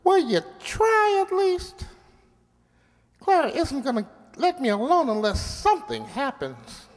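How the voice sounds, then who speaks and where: A man's voice: very high voice, big pitch swings, a little slow, long reverb tail, full character voice. An actor playing a persuasive, pleading little family man in a radio drama. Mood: coaxing, insistent. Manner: coaxing, insistent.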